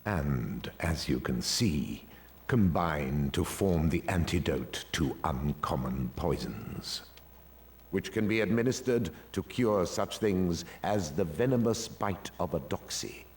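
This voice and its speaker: A sneering, icy potions master for a video game. He drawls his words slowly in a very deep register, clipped and commanding, as if every pupil bores him. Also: lively intonation, slight background noise. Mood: cold lecturing. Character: stern cold male potions professor. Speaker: teacher.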